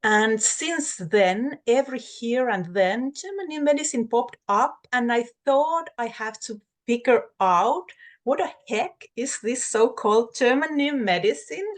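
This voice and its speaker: A woman's voice: a little high, animated, measured pace. A Finnish woman whose first language is Finnish, hosting an interview and introducing her guest in English with careful phrasing. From Finland. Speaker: host.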